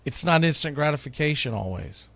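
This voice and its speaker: A male sports radio host with a slightly high voice, recorded close and dry. He delivers an informal monologue in list form, conversational yet emphatic, stating his opinions firmly. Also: expressive, brisk, fairly bright tone, dry recording. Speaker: sports radio host, baseball play-by-play announcer.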